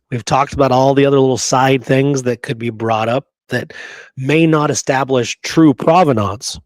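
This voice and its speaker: A man's voice: medium pitch, no room sound. Casual man co-hosting in dry, close sound. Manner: informal, conversational slang. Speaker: podcast co-host. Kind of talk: casual history discussion.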